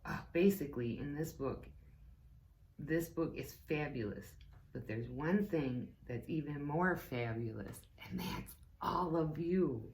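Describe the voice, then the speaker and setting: A woman reading a book aloud to children as a teacher, slow and deliberate, with playful asides to her listeners. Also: low-pitched, animated, darkish tone, slight background noise. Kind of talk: read-aloud book lesson.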